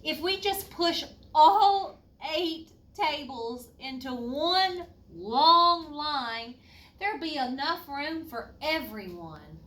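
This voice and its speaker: A woman voicing upbeat, reassuring relatives and neighbors in a storybook, explaining confidently with drawn-out words. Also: sits high, lively intonation, a bit bright, pitched above the performer's own voice, full character voice. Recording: roomy, distant mic, faint noise.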